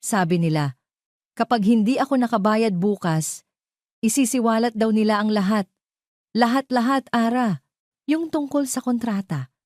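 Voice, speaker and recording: Audio drama casting: woman playing panicked older aunt, bossy and pleading, raised pitch. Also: moderate pace, pauses often, darkish tone, no room sound, clean studio sound, lightly acted. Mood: urgent, pressing. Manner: urgent, pleading, commanding. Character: frightened, demanding older aunt in debt.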